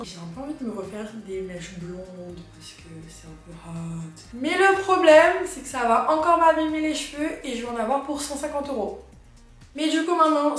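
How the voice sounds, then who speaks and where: A young woman vlogging as an au pair, chatting in a lively, slangy way. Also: on the high side. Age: young adult. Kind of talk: casual chat. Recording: very echoey room with music.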